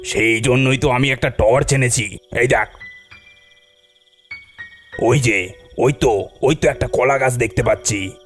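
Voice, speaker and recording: A man's voice: medium pitch, a little slow, long pauses, soft background music, close to an everyday voice. A man voicing a bold, easygoing middle-aged uncle in a cartoon, talking in a colloquial, scoffing, reassuring way. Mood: dismissive, bold. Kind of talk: cartoon voice acting.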